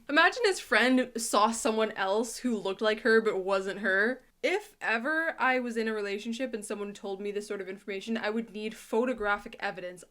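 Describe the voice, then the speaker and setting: A woman giving casual, animated reaction commentary as a host, often acting out how she imagines people would respond. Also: medium pitch.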